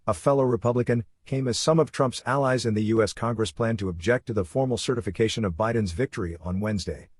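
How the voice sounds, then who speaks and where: A man reading a scripted news report in a formal, measured manner. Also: mid-range voice, husky, dry recording, clean studio sound. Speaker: news reader.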